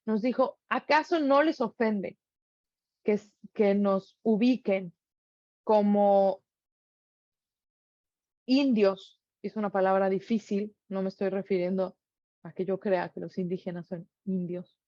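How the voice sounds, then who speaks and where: A woman's voice: medium pitch, steady tone, slow pace, pauses often, warm tone, no echo, dull audio. A middle-aged woman with a Mexican accent giving an earnest reflective monologue, full of rhetorical questions. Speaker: speaker sharing reflections.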